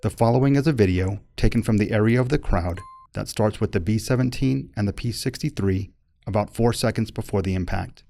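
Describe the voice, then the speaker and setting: A man narrating a formal accident report from a script in a measured, impersonal, factual manner, recorded close as a voice-over. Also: lowish voice, creaky, dark tone, dry recording.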